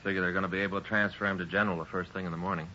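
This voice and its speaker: A man's voice: low voice, flat delivery, racing, bright, forceful tone. A man playing a matter-of-fact police detective in a 1950s radio drama, giving terse, factual reports. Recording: dull, noisy 1950s radio recording.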